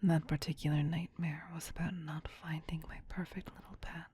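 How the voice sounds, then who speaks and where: A woman playing a possessive, teasing, soothing vampire queen in a whispered ASMR roleplay, breathy, hushed and doting yet domineering. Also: low-pitched, flat delivery, normal speed, a little hiss or hum, natural voice. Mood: gentle soothing.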